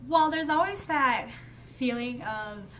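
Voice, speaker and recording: A woman's voice: high-pitched, expressive. Thoughtful twenty-four-year-old woman. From refugee camp in Thailand. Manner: measured, thoughtful. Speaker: interviewee, college graduate. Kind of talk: reflective interview answers. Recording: interview with clear background noise.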